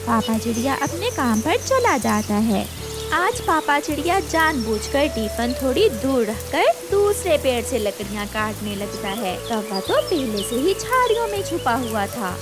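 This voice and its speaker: Female narrator for kids' cartoon tales: high voice, very lively intonation, music bed. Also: a little slow. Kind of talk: scripted children's moral story narration.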